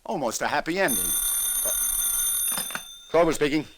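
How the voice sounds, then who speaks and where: An actor playing a kindly, wry police lieutenant with an informal, insistent manner in an old radio drama. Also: male voice, on the high side, expressive, moderate pace, noisy background, natural voice. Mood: dismayed. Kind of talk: 1940s-50s radio drama acting. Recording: old radio recording with music behind.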